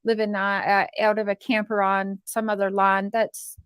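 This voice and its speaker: A woman chatting casually in an earnest, protective and halting way, as on a livestream. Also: medium pitch, little pitch movement, fairly bright tone, smooth, no room sound, studio quality.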